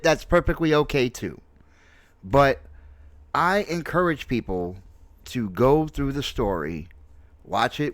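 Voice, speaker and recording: A man in his late forties giving casual, unscripted opinion commentary on a game, halting but emphatic, repeating phrases for stress. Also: fairly high pitch, no room sound. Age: late 40s. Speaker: gaming video host and streamer.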